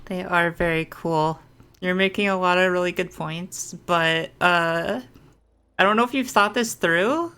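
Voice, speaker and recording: A woman reacts to a game as a streamer, thinking aloud in casual chat. Her voice is mid-pitched and she takes her time, musing as she goes. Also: a bit bright, smooth. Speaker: gaming streamer.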